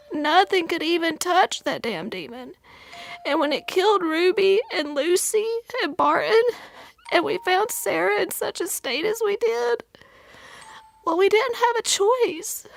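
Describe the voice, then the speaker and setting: Aunt Ruby B, gravelly old aunt. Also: female voice, extremely high pitch, heavily acted. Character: kindly older Southern aunt, motherly. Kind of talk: audio drama acting.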